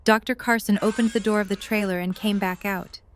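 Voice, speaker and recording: A woman's voice: mid-range voice, steady tone, brisk, a little hiss or hum. A woman reading scripted story narration for an audio drama.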